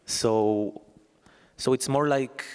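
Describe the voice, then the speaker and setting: A man's voice: mid-range voice, extremely slow, smooth. A man with an Israeli accent, an investigative journalist speaking earnestly on a festival panel, often repeating words as he searches. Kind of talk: panel discussion talk. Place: festival conference hall. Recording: long reverb of a large hall.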